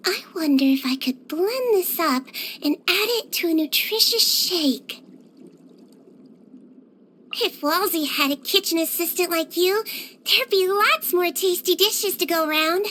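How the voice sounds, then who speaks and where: A woman voicing a small, cute non-human girl nurse from a video game, speaking in a sweet, gentle, childlike and earnest way. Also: very high-pitched, animated, a little slow, a little hiss or hum, full character voice. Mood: friendly. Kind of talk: scripted video game character voice lines.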